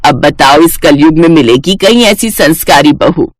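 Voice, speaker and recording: A woman's voice: sits low, expressive, moderate pace, no echo, clean studio sound. A woman voicing a middle-aged mother-in-law in a moral story, gushing in company and privately exasperated. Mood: proud, gushing. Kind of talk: animated Hindi story dialogue.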